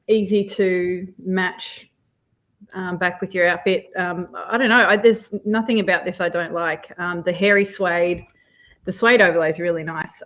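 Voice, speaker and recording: A woman chatting casually over a video call about her sneakers, hesitant with many pauses. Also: lowish voice. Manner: relaxed, halting, many ums. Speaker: sneaker battle contestant. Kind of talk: casual chat.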